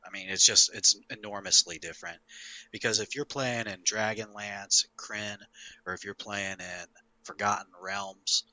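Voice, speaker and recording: A man who is high, in an emphatic, sweary, casual discussion of tabletop gaming as a game master. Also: steady tone, moderate pace, pushed and bright, low-quality recording. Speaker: podcast panelist and game master.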